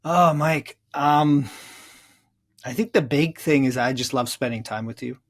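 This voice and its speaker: A middle-aged man from Czechoslovakia speaking as an interview guest, earnest and reflective, haltingly building lists and rhetorical questions. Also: mid-range voice. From Czechoslovakia, moved to North America. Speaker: interview guest, psychotherapist and author.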